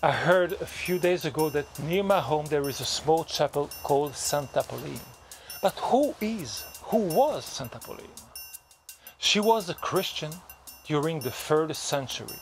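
A man's voice: on the high side, animated, a little slow, soft background music. A Belgian man in his fifties vlogging outdoors in a forest, telling a story in a playful, mock-dramatic way to a handheld camera. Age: 50s. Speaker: travel vlogger. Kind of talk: casual vlog to camera.

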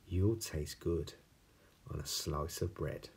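A huge, gullible beast from a children's storybook, played by a man. It sounds husky and hungry, with emphatic lines. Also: extremely low pitch, slow pace, darkish tone, heavily acted.

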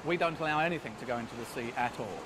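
A man's voice: mid-range voice, racing, background noise. A middle-aged man, a ship's officer, explaining matter-of-factly in an interview in an office. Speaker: ship environmental officer.

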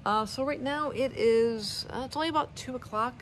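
A middle-aged woman chatting casually about books in a vlog, halting as she thinks aloud. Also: high-pitched, a little hiss or hum. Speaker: book vlogger. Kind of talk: casual book review chat. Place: small wood-paneled living room indoors. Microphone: phone or camera at arm's length.

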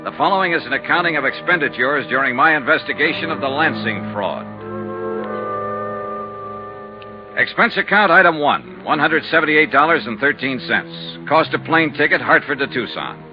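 Casting: male actor for tough American insurance sleuth, 1950s radio serial, very animated pitch, dry pressing delivery, dull vintage recording. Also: brisk, hardly any pauses, music in the background, natural voice. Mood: brisk narrating. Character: tough, wry freelance male insurance investigator. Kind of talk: 1950s radio detective acting.

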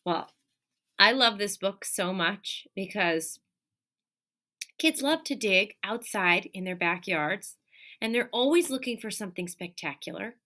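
Animated woman teacher reading a storybook. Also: medium pitch, a little slow. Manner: animated storytelling with gasps and playful questions to children. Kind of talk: children's picture book read-aloud. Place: classroom or office with window and colorful wall hanging. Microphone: webcam at close range.

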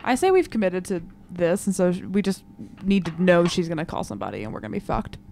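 A woman's voice: on the low side, sing-song, fast talker, creaky, darkish tone, slight background noise. A woman chatting casually and bluntly as a player in a tabletop game. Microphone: microphone at the game table.

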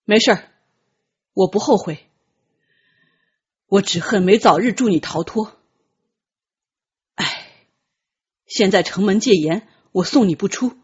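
Woman acting as young prince, breathy husky voice, bitter, protective, pained, grave, storybook drama, slightly lo-fi sound. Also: mid-range voice, slow pace, pauses often, darkish tone. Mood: bitter, resigned.

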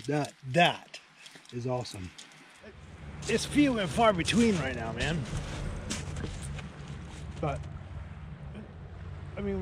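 A man in his forties giving casual, enthusiastic running commentary to camera outdoors as he fishes, in an informal, matey way of talking. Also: fairly high pitch. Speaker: angling vlogger. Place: outdoors at night on a beach by a small shelter. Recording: clearly noisy outdoor location sound.

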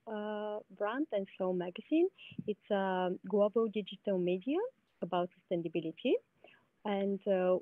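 A woman answers interview questions from a sunny outdoor spot. She talks in a friendly, halting way, looping back with fillers and repeated words. Her voice comes through a thin, phone-like video call line. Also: medium pitch, slight background noise. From Bulgaria. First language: Bulgarian. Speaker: startup founder, guest. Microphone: laptop video call.

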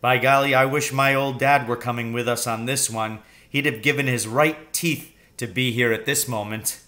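A man voicing a warm, excitable father in a storybook read-aloud, hearty and reassuring. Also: mid-range voice, moderate pace, natural voice.

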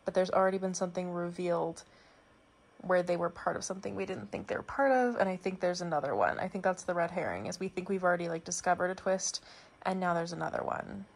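Young female vlogger, husky fry-tinged voice, loose chatty delivery, phone mic at home. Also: on the low side, lively intonation, fast. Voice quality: raspy. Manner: chatty, rambling, lots of "like". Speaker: book vlogger. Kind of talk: casual vlog chat. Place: home, bedroom and kitchen.

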